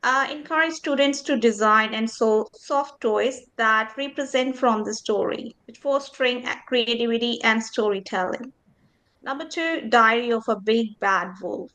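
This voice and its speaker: A woman's voice: a little high, unhurried, a bit bright, low-quality recording. A woman student presenter reading through a scripted list of teaching suggestions.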